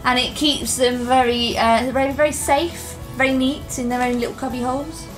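A woman's voice: sits high, fairly even intonation, normal speed, soft background music, poor audio. A woman in her thirties presenting craft products in a casual, gushing chat, filmed in a small stockroom by a camera on the table. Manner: chatty, enthusiastic, gushing. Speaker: shop presenter.

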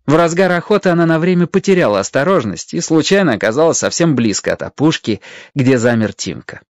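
A narrator reading a radio-play story in an expressive, scripted storytelling style. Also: medium pitch, fairly quick, dry recording.

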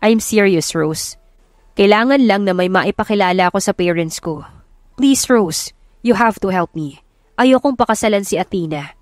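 A woman's voice: medium pitch, moderate pace, no room sound, natural voice. A woman narrator takes on a well-off young man in a romantic audio drama. He is earnest and emphatic, pressing his case with a pleading edge. The sound is dry, with no room on it. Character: earnest, insistent young rich man seeking a fake wife.

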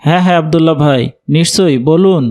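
A kindly educated office worker played by a man in a cartoon. His voice is a little high for a man, and he speaks with courteous, helpful manners. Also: quick pace, a little mellow, natural voice. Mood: reassuring, helpful. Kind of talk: animated cartoon dialogue.

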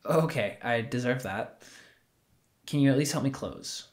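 A man playing an awkward, sheepish young retail worker in an audio drama, with a halting, casual, wry delivery. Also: mid-range voice, natural voice. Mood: awkward, pleading. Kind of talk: naturalistic scripted audio drama dialogue.

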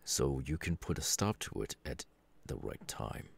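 A man playing a stern, weary middle-aged superhero father in an ASMR roleplay, with a deliberate, halting delivery. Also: extremely low pitch, very animated, gravelly, husky, full character voice. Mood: weary, earnest. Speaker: voice actor.

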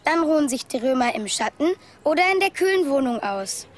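A woman's voice: high voice, sing-song, fairly quick. A woman voicing a small, cheerful male toy rabbit who chats in a friendly tone as he reads out his letter from a trip. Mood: chatty. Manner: chatty, friendly letter-writing tone. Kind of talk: storybook letter reading.

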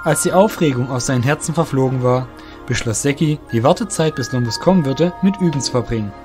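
A man's voice: mid-range voice, quick pace. A man narrating a scripted fantasy story as the narrator of an audio drama. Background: music.

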